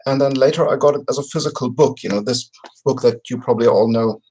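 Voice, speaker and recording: Measured man on a video call. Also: mid-range voice, a little mellow, a little hiss or hum. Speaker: podcast guest. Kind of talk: casual explanatory storytelling. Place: home room with bookshelves. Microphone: video call, webcam distance.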